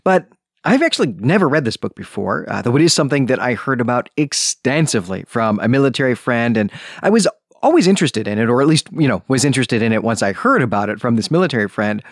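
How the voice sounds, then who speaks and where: A man's voice: mid-range voice, sing-song, fast talker, dry recording, studio quality. A man hosting a book podcast, talking in a conversational, discursive way with frequent asides. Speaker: podcast host.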